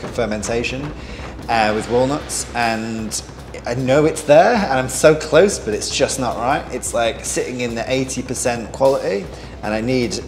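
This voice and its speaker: A man in his thirties, a head chef, talking candidly in an interview and laughing at himself now and then. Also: mid-range voice. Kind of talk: reflective interview. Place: large bright restaurant dining room. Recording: reverberant room with clear background noise.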